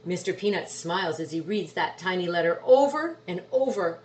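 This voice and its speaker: A woman reading a children's storybook aloud expressively, drawing out words for emphasis. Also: lowish voice. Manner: animated, drawn-out words for emphasis. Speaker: storybook reader. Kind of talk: expressive children's picture book read-aloud.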